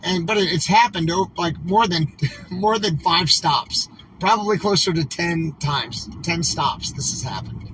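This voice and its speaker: Guy livestreaming from his car, kinda high voice, rambles and leans on "like" a lot, road hum behind. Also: unhurried, fairly bright tone. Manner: rambling, emphatic, heavy use of "like". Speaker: convoy livestreamer. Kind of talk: casual in-car livestream talk. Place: inside a moving vehicle. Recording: distant mic, clear road noise.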